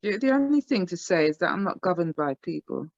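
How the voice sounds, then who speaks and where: A woman from England, a singer, being interviewed in a calm, self-assured way and often repeating herself. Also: on the low side, a little fast, no echo. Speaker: singer, guest. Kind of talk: casual interview on a video call. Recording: dry, dull call audio.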